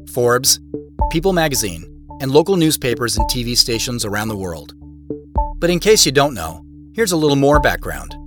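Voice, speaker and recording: A man acting as a reflective narrator telling his own mystery in an audio drama, in a measured, deliberate way. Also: mid-range voice, lightly acted. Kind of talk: first-person scripted audio drama narration.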